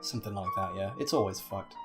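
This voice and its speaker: A man's voice: sits low, fast. A man in his twenties with an English accent, giving wry, casual game commentary as a co-host. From Yorkshire, England. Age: 20s. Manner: wry, jokey. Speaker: Let's Play co-commentator. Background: game music.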